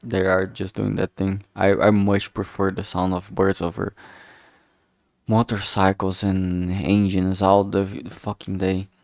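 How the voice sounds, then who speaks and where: Low-voiced man, casual halting streamer. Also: completely flat delivery, creaky, a little mellow, dry recording, studio quality. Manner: frank, heavily swearing, halting with self-corrections. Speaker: streamer, game developer. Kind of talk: casual rambling livestream chat.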